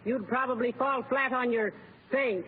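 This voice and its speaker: An actress playing a long-suffering, disappointed wife in a 1940s radio comedy, with a reproachful, melodramatic delivery. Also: female voice, on the high side, fairly even intonation, moderate pace, close to an everyday voice. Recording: tinny, noisy old radio broadcast.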